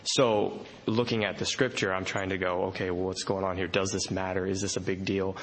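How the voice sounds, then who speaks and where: Low, flat-voiced hesitant questioner. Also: male voice, a little fast. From Indonesia. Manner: hesitant, many fillers, apologetic. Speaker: audience member asking a question. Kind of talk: question from the floor.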